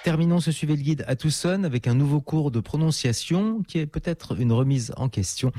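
A man's voice: a little high, lively intonation, fairly quick, noisy background. A man hosting a travel radio show, interviewing and presenting in a conversational style.

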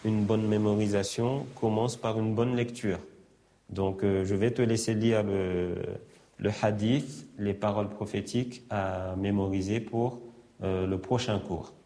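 A man teaching a class, calmly guiding a student. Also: on the low side, a little slow. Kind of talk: classroom instruction.

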